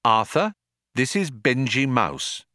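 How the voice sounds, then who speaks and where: A man voicing a calm, friendly woman in a storybook, polite and matter-of-fact. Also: medium pitch, slow, dry recording, clean studio sound, pitched above the performer's own voice, exaggerated voice.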